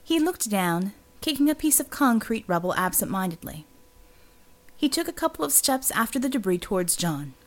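A woman's voice: on the high side, very animated, moderate pace. A woman reading scripted fiction as an audiobook narrator.

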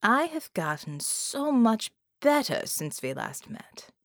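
Woman acting a sly audiobook villain, shapeshifter, bargaining and taunting, insinuating, lively intonation. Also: a little high, takes it slowly, no echo, studio quality, voice pushed up, heavily acted. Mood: smug, taunting.

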